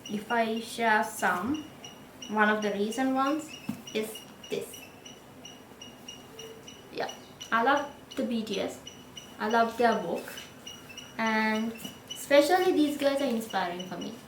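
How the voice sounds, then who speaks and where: A woman's voice: fairly high pitch, slow pace, long pauses, a little hiss or hum. Sri Lankan woman vlogging in echoey room. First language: Sinhala. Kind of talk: casual talk to camera.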